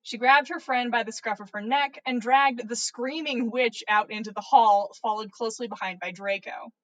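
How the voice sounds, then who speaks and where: A woman's voice: on the high side, steady tone, normal speed, fairly bright tone, highs cut off. A woman reading fan fiction aloud on a livestream in a playful, theatrical way. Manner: theatrical, playful, stumbles over words and swears. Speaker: podcast host reading listener fan fiction. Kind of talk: animated story reading on a livestream.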